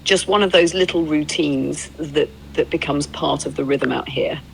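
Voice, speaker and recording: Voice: medium pitch, normal speed, gravelly, slight background noise, low-quality recording. An androgynous synthetic voice in the role of a travel companion, telling stories and replying in a fluent, agreeable, reflective conversational style. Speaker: AI companion playing a road-trip documentarian. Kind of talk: conversational roleplay storytelling.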